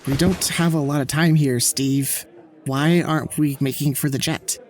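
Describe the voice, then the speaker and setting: A man's voice: on the high side, fairly even intonation, normal speed, natural voice. An actor playing an anxious male scientist on the run, paranoid and rambling, stammering when flustered. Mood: nervous. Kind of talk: amateur audio drama acting.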